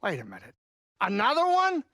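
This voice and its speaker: A man voicing a gruff but caring small alien saloon keeper in a video game, with a blustery, folksy, wisecracking delivery. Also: high-pitched, huge pitch swings, normal speed, pushed and bright, no room sound, studio quality, exaggerated voice. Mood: excited, blustery. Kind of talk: video game character dialogue.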